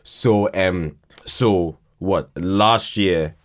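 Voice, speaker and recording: Slow-talking, halting man, fairly low voice. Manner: halting, repeats words and restarts often. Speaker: podcast guest, fiction podcast creator. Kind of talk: casual chat.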